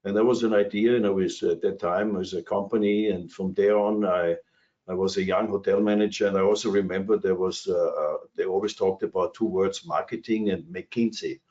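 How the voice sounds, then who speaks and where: An older man with an Austrian accent tells his career story on a video call. His voice sits low, and he rambles with plenty of fillers and restarts. The call audio is dull and lo-fi. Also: fairly even intonation, a little fast, darkish tone. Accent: Austrian German. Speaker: cruise industry executive. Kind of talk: webinar panel talk. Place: his office.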